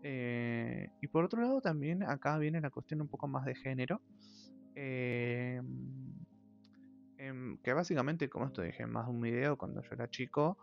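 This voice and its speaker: An Argentine man hosts his own channel, thinking aloud in a reflective, halting way full of drawn-out fillers. His voice carries a gravelly fry. The recording sounds muffled and lo-fi, with quiet music underneath. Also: medium pitch. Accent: Argentine Spanish. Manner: thoughtful, hesitant, many drawn-out fillers. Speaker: channel host. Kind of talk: reflective personal monologue. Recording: dull lo-fi audio with faint music.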